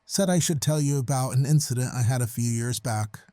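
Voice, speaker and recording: Male night-shift janitor voiced by an actor, relaxed warm tone, mid pitch, wry and matter-of-fact storytelling for audio horror. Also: a little fast, no room sound, clean studio sound, lightly acted. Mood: eager, cagey. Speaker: caller.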